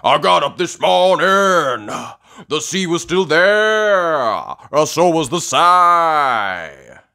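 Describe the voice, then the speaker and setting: A boisterous old grandfather rabbit, hearty and booming. The voice is pitched well up and swoops widely, stretching words out slowly like a jolly sailor in a children's cartoon storybook. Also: wildly swooping pitch, bright, forceful tone, exaggerated voice. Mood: hearty, wistful. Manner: hearty, booming, drawn-out exclamations, breaks into song.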